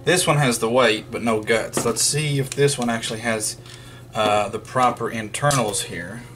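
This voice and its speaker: A man's voice: mid-range voice, fairly even intonation, moderate pace. Man thinking aloud, music behind. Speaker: vlogger demonstrating radio repair. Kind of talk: casual, unscripted hands-on repair narration. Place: indoors at a wooden workbench.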